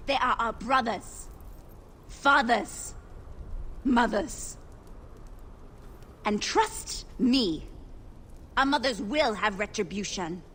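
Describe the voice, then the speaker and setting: An indignant priestess, a woman with a slightly high voice who speaks slowly with stern, accusing weight. Faint ambient noise sits under her lines in the game mix. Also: a bit bright. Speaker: game character. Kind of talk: video game cutscene acting.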